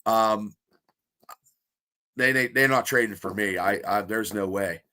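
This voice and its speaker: A man co-hosting a sports podcast, talking in excitable, rambling bursts full of restarts, with a stuffy nose. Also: on the low side, a little slow. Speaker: podcast co-host. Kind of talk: casual sports podcast chat.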